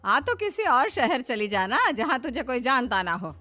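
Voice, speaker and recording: A woman's voice: high-pitched, very animated, moderate pace, bright, forceful tone, faint music behind, voice pushed up, heavily acted. A woman voicing a domineering, mocking middle-aged mother-in-law with emphatic orders in a storybook tale. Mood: mocking, bossy. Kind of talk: acted storytelling.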